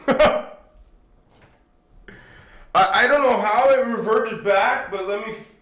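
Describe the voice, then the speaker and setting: A man's voice: high-pitched, slow pace, a bit bright, echoey room. Chatty man with a stuffed-up nose. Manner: rambling, chatty, chuckles often. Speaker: livestream host. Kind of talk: casual livestream chat. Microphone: phone on tripod.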